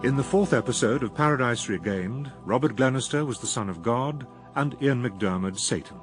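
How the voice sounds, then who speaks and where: A man's voice: medium pitch, animated, moderate pace, over music. A British man reading a scripted, formal broadcast announcement as a radio announcer.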